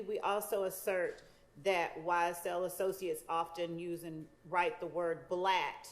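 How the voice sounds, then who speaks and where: Lower-pitched woman arguing formally in court. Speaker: prosecutor. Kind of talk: formal courtroom legal argument.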